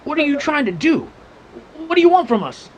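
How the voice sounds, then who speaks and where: A man's voice: very high voice, sing-song, brisk, slight background noise. A man playing a frightened, bewildered young captive in a radio western, agitated and protesting. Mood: alarmed, bewildered. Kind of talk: old-time radio western acting.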